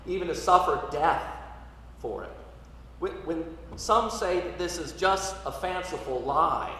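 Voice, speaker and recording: A man preaching a sermon as a priest, in a measured, rhetorical delivery that swells with emphasis and drops to quiet. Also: mid-range voice. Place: large church interior, live service. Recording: echoey church, distant mic, some noise.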